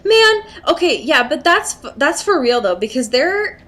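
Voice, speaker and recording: A woman's voice: high voice, very animated, measured pace, pushed and bright. A young American woman co-hosting a casual podcast, chatting in slangy, exclamatory, sweary language. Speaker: podcast co-host. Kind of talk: casual chat, recapping a book.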